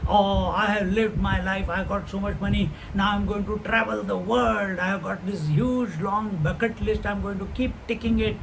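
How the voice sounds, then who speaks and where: Older male spiritual teacher, high-pitched, unhurried questioning delivery. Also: steady tone, vocal fry, background noise. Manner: unhurried, posing rhetorical questions; chants Sanskrit verses slowly. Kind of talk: scripture class talk.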